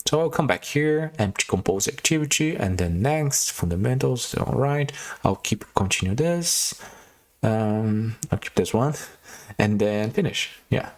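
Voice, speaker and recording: A man from Brazil speaks English with a Brazilian Portuguese accent, his voice sitting a little low. He talks in a relaxed, unpolished way, pausing on fillers and restarting sentences as he explains software setup step by step. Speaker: tutorial host. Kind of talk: casual screen-recorded coding tutorial.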